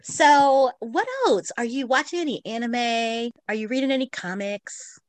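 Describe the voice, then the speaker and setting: A woman's voice: fairly high pitch, a little slow, no room sound. A woman co-hosting a podcast in casual, giggly conversation. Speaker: podcast co-host. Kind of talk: casual chat about books and shows.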